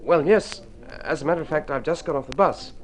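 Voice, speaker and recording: A man's voice: a little high, fairly quick, close to an everyday voice. An actor playing an earnest, polite young male painter fresh from the country, in an old radio drama. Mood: eager, polite. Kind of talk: vintage radio drama acting.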